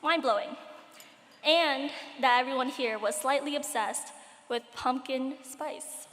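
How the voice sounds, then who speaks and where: A woman's voice: sits high, slow pace, a bit bright, a little hiss or hum. A teenage girl from Cambodia giving a commencement speech as a graduating student, with wry, self-deprecating humor. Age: late teens. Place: large hall at a graduation ceremony. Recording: echoey hall with long reverb.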